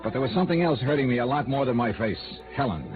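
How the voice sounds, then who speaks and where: A thin old radio recording with music carries a man acting a hard-boiled detective. His lowish voice swings widely in pitch as he wisecracks and narrates in clipped, tough noir style. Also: lively intonation, quick pace, lightly acted. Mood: hard-boiled narrating. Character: wry, hard-boiled male private investigator. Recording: narrow-band vintage radio recording with music.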